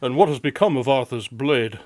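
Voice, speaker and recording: A man's voice: medium pitch, moderate pace, a little mellow, no room sound, close to an everyday voice. A man playing a courteous, self-assured detective with dry wit and formal, gallant phrasing in a radio drama.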